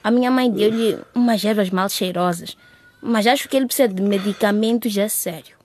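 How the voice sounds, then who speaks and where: A woman's voice: mid-range voice, a little fast, darkish tone, natural voice. A woman acting as a caring, outspoken young daughter in a radio drama, earnest and insistent. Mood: worried.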